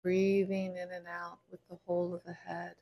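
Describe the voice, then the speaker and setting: A woman meditation teacher leading a guided body scan in a gentle, unhurried way, pausing between short phrases. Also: lowish voice, completely flat delivery, creaky, lo-fi sound.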